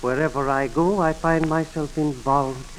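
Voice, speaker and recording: An actor playing a pedantic, polite professor and amateur sleuth in a 1940s radio mystery, speaking a little hesitantly. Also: male voice, on the high side, fairly even intonation, moderate pace, slight background noise, close to an everyday voice, low-quality recording. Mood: measured, reminiscent. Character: slightly pedantic, smallish gentleman psychology professor, amateur sleuth. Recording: old 1940s radio broadcast.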